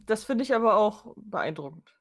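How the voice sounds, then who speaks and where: Female podcast co-host, medium pitch, conversational, casual replies to her partner. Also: lively intonation, normal speed. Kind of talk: casual chat, responding to co-host.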